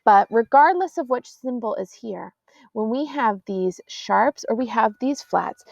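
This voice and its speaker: High, gravelly woman teaching choir. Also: animated, measured pace, darkish tone, no room sound. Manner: explains patiently, counts beats aloud. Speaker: middle school choir teacher. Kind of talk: classroom music lesson. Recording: dull, dry lo-fi audio.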